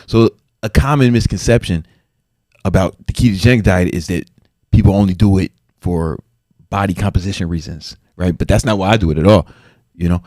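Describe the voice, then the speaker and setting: A man's voice: sits low, brisk, dark tone, dry recording, clean studio sound. A man in his forties, a fitness trainer guesting on a podcast, talking in a laid-back, slangy way and laughing often. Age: 40s. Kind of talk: casual interview.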